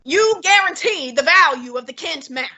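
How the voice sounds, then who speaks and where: A woman playing a fussy, imperious, heavyset male detective in a student radio drama, speaking in a haughty, deliberate, commanding way with a breathy tone. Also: high voice, expressive, pushed and bright. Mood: interrogating.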